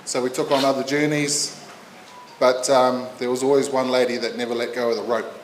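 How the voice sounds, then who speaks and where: A man in his fifties speaks at a funeral in a voice of middle pitch, pausing and searching for each phrase. His words come heartfelt and unpolished, and the room around him sounds echoey with a faint hiss underneath. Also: level tone. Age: 50s. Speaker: family member giving a eulogy. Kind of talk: funeral eulogy. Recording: roomy echo.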